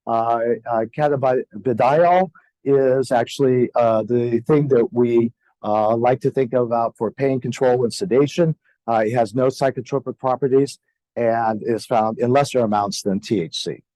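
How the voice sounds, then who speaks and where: An older man giving a conference lecture with a sore, scratchy throat, halting with many fillers and clearing his throat. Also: mid-range voice, warm tone, dry recording. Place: lecture hall.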